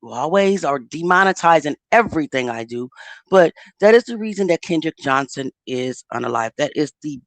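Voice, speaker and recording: A woman's voice: low-pitched, expressive, moderate pace, no echo. Stuttering, insistent woman host. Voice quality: speech impediment. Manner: stuttering, repeats words, heated and insistent. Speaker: channel host. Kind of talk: casual commentary.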